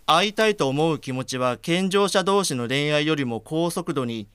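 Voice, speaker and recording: A man's voice: a little high, animated, moderate pace, fairly bright tone, slight background noise. A man playing an earnest, polite blind man shyly in love, in an audio drama.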